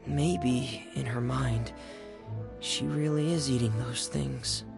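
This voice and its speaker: A reserved teenage boy from a video game, earnest and subdued. He talks slowly with a creaky edge, hesitant and thoughtful, over clear music. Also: mid-range voice, fairly even intonation. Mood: pensive.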